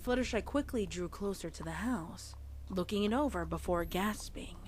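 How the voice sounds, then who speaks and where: A woman's voice: medium pitch, normal speed. A woman reading fan fiction aloud as a storyteller, with casual reaction asides. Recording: faint background noise.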